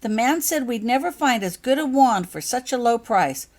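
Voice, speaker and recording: A woman's voice: fairly high pitch, expressive, normal speed, a little mellow, pitched above the performer's own voice, close to an everyday voice. A woman voicing a tearful, panicky young schoolgirl in a storybook, wailing and pleading. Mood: wailing, sobbing. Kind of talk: storybook character voice.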